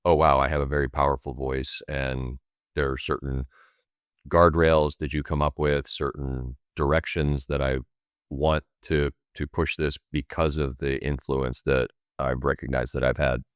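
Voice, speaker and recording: A man's voice: a bass voice, flat delivery, measured pace, smooth, no room sound, studio quality. A man hosting a podcast, asking long, winding questions and affirming his guest. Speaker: podcast host. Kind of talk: conversational interview questions.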